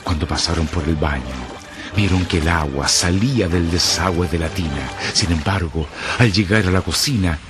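A man's voice: sits low, normal speed. A man narrating a scripted horror radio drama in a grave, dramatic, ominous manner. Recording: loud music under the voice.

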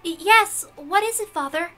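A woman acts out a meek young witch girl from a visual novel. The voice is high and bright, with a hesitant, earnest manner. Faint music plays behind. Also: moderate pace. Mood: nervous, submissive.

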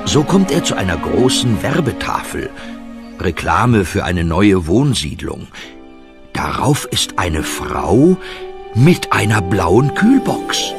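A man's voice: lowish voice, very animated, slow pace. A man narrating a scripted children's audio story, speaking directly to the listeners. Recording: music under the voice.

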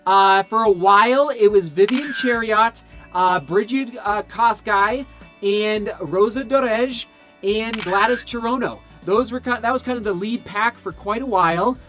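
A man in his thirties, a little hoarse and tired, breaking down race results to camera in casual, rambling, enthusiastic talk from a small home studio. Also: high voice, unhurried, fairly bright tone, faint music behind. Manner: enthusiastic, rambling with fillers and self-corrections. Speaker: running vlogger. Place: small home studio with shoe brand boxes.

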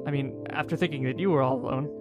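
A man's voice: a little high, fast talker, loud music behind the voice, close to an everyday voice. An actor playing an earnest, idealistic man raised in space habitats, in a science-fiction audio drama. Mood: earnest address. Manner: earnest, wondering.

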